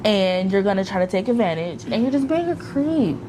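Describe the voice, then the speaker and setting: A woman's voice: medium pitch, sing-song, a little fast. Woman co-host, casual chat, blunt and emphatic, swears a lot, hissy background noise. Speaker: podcast co-host.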